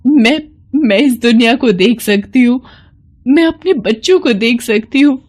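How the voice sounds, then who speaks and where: A woman plays a poor blind mother from an animated moral tale. She speaks with humble, pleading sincerity and open emotion, pitched a little above plain narration. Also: measured pace. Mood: overjoyed, amazed. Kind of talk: Hindi animated moral story acting.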